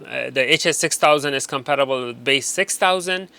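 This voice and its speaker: A man presents products in a bright, forward voice at an ordinary male pitch. He talks off the cuff like an informal instructor, circling back and rewording his points to make them clear. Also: a little fast. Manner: unscripted, repeats and rephrases to explain. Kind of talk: informal explanatory product walkthrough.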